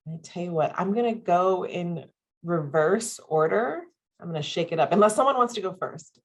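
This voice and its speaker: Low-voiced breathy woman moderating a webinar. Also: lively intonation, moderate pace. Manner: upbeat, frequent ums, listing careers. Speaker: moderator and faculty presenter. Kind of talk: webinar presentation. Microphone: video call.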